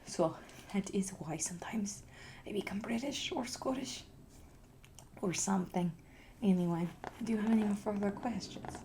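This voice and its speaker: A grandiose, blunt artist speaks in soft, haughty whispers with a put-on foreign accent that keeps slipping, as a woman acts her out. The voice is low and gravelly with a dark, mellow tone, and her delivery stays deadpan. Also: normal speed, slight background noise, close to an everyday voice, poor audio. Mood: haughty, whispery. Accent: put-on foreign accent that slips. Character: pretentious eccentric female artist, grandiose and blunt. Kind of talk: whispered ASMR roleplay.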